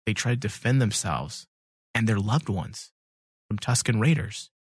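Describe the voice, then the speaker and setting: A man hosting a casual fan podcast, bantering in a mocking, sweary, animated way. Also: fairly low pitch, unhurried, breathy edge, dry recording, studio quality. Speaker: podcast host.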